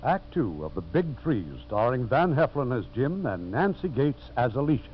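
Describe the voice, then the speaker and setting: A man's voice: medium pitch, sing-song, moderate pace, a little hiss or hum. A man hosting and narrating a scripted 1950s radio drama. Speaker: radio host and producer. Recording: muffled 1950s radio recording. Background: music.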